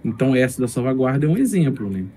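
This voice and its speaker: A man's voice: mid-range voice, very animated, fast talker, warm tone. A man with a Brazilian accent talking casually on a podcast in a reflective, explanatory way. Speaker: tabletop gamer, podcast speaker. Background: faint music.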